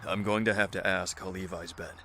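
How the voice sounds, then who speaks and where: A man plays a male leader in a survival audio drama. His low voice has a bright, clear edge, and he speaks with measured calm and dry wit. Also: slight background noise, heavily acted. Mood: calm, wry. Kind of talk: dramatic audio acting, naturalistic dialogue.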